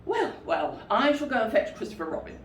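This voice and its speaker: A woman voicing a brisk, stern, fussy male rabbit in a storybook, emphatic and matter-of-fact as he scolds. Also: a little high, very animated, voice pushed up. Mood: tactful reproach. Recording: roomy, distant mic with faint noise.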